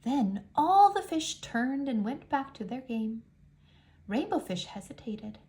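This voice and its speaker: Animated, higher-voiced woman reading a children's story. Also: takes it slowly. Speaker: storyteller reading a picture book aloud. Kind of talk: expressive read-aloud for young children.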